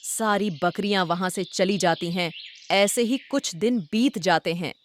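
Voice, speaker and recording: A woman's voice: medium pitch, measured pace, slight background noise. Woman narrating a children's animal fable. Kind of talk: scripted children's story narration.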